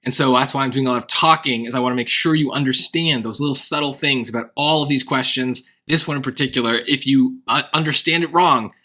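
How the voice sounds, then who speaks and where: A man walks through a math problem like a relaxed tutor, checking in with "right?" as he goes. His tone is bright and forward. The voice sounds worn and hoarse. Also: medium pitch, fairly quick, hardly any pauses. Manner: thinking aloud, wry asides, frequent "right?". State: voice getting hoarse. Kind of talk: casual worked-example lesson.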